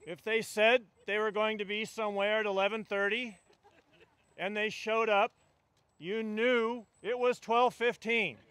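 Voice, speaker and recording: A man's voice: high-pitched, steady tone, a little slow, a bit bright, smooth. An older man, a union delegate, giving a funeral eulogy outdoors at a stand mic, with a wry storytelling delivery. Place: outdoors at a funeral gathering among trees.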